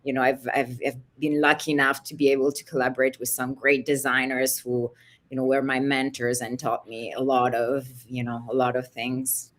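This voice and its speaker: A woman's voice: a bass voice, level tone, moderate pace. A middle-aged woman from Italy, a costume designer chatting casually in an interview over a video call, full of "you know" and "like".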